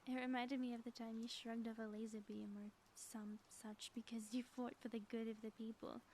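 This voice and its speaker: A woman playing a flirtatious, affectionate ex-villain wife in an intimate ASMR audio roleplay, teasing and breathy, often dropping toward a whisper. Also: fairly high pitch, level tone, moderate pace, darkish tone, natural voice. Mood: playful, grateful. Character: playful, flirtatious ex-villain wife, theatrical yet sappy and affectionate. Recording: faint background noise.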